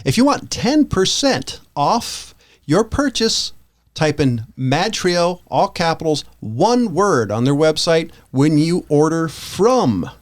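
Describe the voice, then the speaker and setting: Casual, enthusiastic male podcast co-host. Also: fairly high pitch.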